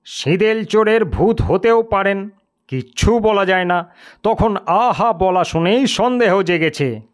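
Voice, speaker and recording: Give this storybook police officer, acted out by a man, a raised, widely swinging pitch. He is pompous and suspicious, blustering gruffly at whoever faces him and quick to believe in ghosts. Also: lively intonation. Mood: wary, blustering. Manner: gruff, grave-toned, blustering. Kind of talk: dramatised audio storybook character.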